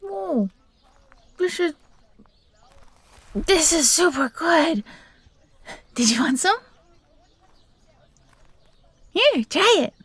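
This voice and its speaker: A woman voicing a young fox girl, timid but eager and grateful, soft and breathy, in a scripted audio roleplay. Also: very high voice, animated, slow, pauses often, no echo, full character voice. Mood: delighted, eager.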